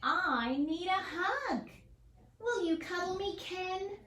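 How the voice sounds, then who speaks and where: Woman as small lonely hedgehog, high voice, drawn-out plaintive delivery, children's storybook, roomy sound. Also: animated, bright, forceful tone, recorded from a distance, full character voice. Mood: pleading. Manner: slow, drawn-out, plaintive pleading. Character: small lonely prickly hedgehog, pleading for a cuddle. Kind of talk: children's picture-book read-aloud.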